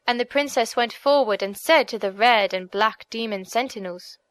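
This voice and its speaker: A woman narrates an audiobook tale in a scripted storytelling style. Her voice sits fairly high, and the recording is dry with no audible room. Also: normal speed, a bit bright, no room sound.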